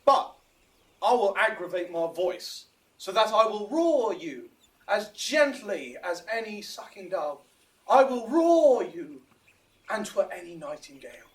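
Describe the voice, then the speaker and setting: A man's voice: sits high, big pitch swings, a little slow, distant mic, rough recording. A man playing a boastful, eager amateur actor with a showy, self-important manner in a Shakespearean audio drama. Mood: pompous.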